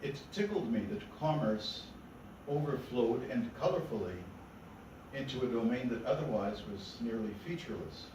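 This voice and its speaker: A man reading poetry to a gathering, with measured, deliberate phrasing. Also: mid-range voice, expressive. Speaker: poet reading own work. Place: indoor literary meeting room. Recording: echoey room from far off, noisy.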